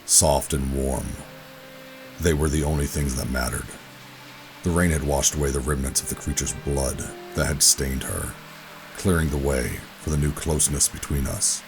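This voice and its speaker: A man playing a weary rogue operative, gruff but tender, narrating a sci-fi audio drama in a brooding, deliberate way. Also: a bass voice, steady tone. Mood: soft, intimate. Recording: music bed under the voice. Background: dramatic music.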